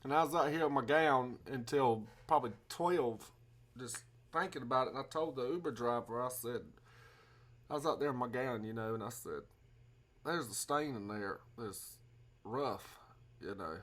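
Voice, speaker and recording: A man's voice: mid-range voice, moderate pace, fairly bright tone, lightly acted. Man putting on a rambling, folksy Southern guest. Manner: rambling, folksy, muddled double-talk. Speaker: prank caller. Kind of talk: improvised prank phone call.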